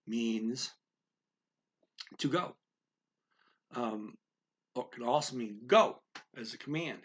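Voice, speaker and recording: A man's voice: mid-range voice, sharp tone, breathy edge. A man in his sixties, in a small room at home, filmed by a webcam at his desk, explains grammar in a casual lesson, repeating key words and checking in with "okay" and "right.". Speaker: language teacher.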